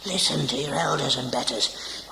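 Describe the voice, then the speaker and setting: Actor as a cantankerous, gravelly old healer, echoey. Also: male voice, mid-range voice, normal speed, lightly acted. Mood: irate, mocking. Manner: colloquial, hectoring, rhetorical "eh?" tags. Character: cantankerous older male healer, self-proclaimed genius, scolding and mocking. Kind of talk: audio drama acting. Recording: very echoey room, clear background noise.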